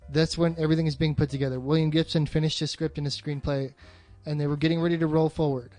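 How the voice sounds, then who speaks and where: A man's voice: a little high, level tone, fast talker, a little mellow, soft background music. A man in a casual film discussion as a co-host, blunt and swearing often.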